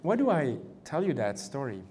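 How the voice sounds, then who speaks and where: A man with a European accent, a canon law professor speaking on a conference panel in a measured way, with many fillers and rhetorical questions. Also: fairly high pitch, big pitch swings, a little mellow, a little hiss or hum.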